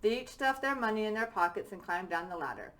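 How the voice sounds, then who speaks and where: Bright-voiced woman reading a children's story. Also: mid-range voice, expressive, fast. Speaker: teacher.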